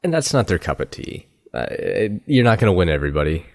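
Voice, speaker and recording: A man with a very low voice hosts an interview, with his pitch moving a lot. He chats casually and backs his guest up with frequent short affirmations. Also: very animated, quick pace, a little mellow. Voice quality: affirming, many short backchannels. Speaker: podcast host.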